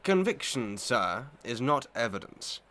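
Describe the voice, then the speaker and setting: An actor playing a stern, impartial magistrate in a Victorian melodrama, speaking in a formal, deliberate, official manner. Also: male voice, medium pitch, sing-song, a bit bright. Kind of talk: Victorian melodrama audio play acting.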